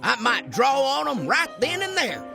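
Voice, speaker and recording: A blustering, slow-witted cowboy deputy from a cartoon, put on by a woman, talks tough and gets muddled. The voice is high and slow, with music playing clearly behind. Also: wildly swooping pitch, exaggerated voice. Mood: boastful. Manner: boastful tough talk, easily muddled. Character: blustering dim-witted male Western deputy. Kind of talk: animated film voice acting.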